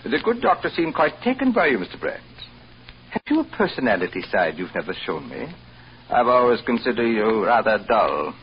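Haughty hotel manager, played by a man: deliberate, drily sarcastic, superior, very inflected, on a dull, faintly noisy old radio recording. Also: medium pitch, sing-song, lightly acted. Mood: condescending. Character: haughty, condescending male hotel boss, testy and needling. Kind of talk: old-time radio drama acting.

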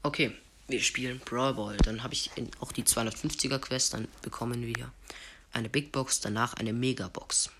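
A man with a cold giving casual, slangy running commentary on a mobile game he is playing, sometimes dropping to hushed asides. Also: mid-range voice, steady tone, moderate pace, background noise. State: has a cold. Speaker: gaming video host. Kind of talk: live gameplay commentary.

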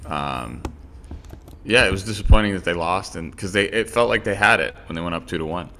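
A man's voice: deep voice, a little fast, sharp tone. A man co-hosting a casual sports podcast, opinionated and rambling with restarts. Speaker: podcast co-host.

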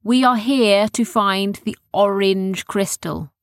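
A woman's voice: medium pitch, slow, no echo, clean studio sound. A woman voicing an earnest, polite young boy on a quest in a storybook.

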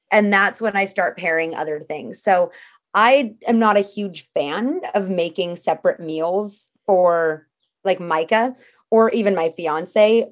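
A woman guest in a casual interview, talking in a chatty, practical way and walking through things step by step. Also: lowish voice.

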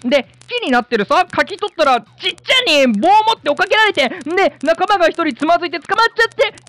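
Woman voicing a high-pitched cheeky schoolboy. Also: expressive, fast, a bit bright, no room sound. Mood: defensive, cheeky. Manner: slangy, grumbling, bratty. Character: cheeky, rough-talking schoolboy son. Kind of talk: radio drama acting.

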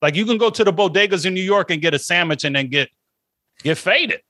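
Bright, jokey podcast co-host man. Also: high voice, expressive, brisk, dry recording. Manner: profane, jokey, does silly voice bits. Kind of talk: casual chat.

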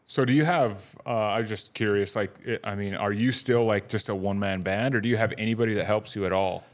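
A man's voice: lowish voice, fast. A man in his forties hosting a podcast, easygoing and chatty, with casual swearing. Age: 40s. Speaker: podcast host. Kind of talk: casual interview chat.

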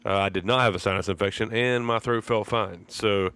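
A man's voice: lowish voice, fairly even intonation, a little fast, a bit bright, clean tone. A man hosting a podcast, his voice froggy and congested from a sinus infection, talking in a laid-back, rambling way. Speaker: podcast host. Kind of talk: casual podcast intro and chat.

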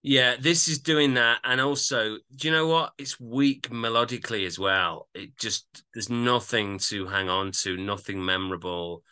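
A man's voice: medium pitch, steady tone, normal speed, hardly any pauses, bright, forceful tone, clean tone. A man chatting casually as a podcast host, giving opinionated, analytical reviews of music.

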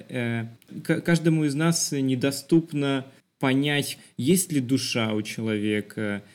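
A man with a medium-pitched voice speaks as a podcast co-host. He explains his views on film in an expressive, conversational way, setting up points with rhetorical questions.

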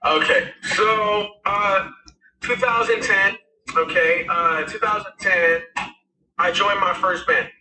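A raspy-voiced man in his thirties, a musician guest telling his story in a rambling, casual way with many fillers. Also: high voice, slow pace, pushed and bright, reverberant, far from the mic, a little hiss or hum, low-quality recording. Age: 30s. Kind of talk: casual interview talk.

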